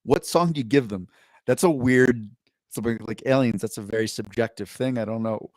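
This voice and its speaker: A man's voice: medium pitch, brisk, darkish tone, no room sound. Rambling man on a podcast, dry mic. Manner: rambling and self-correcting, upbeat. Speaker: podcast co-host. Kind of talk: casual chat, reading posts aloud.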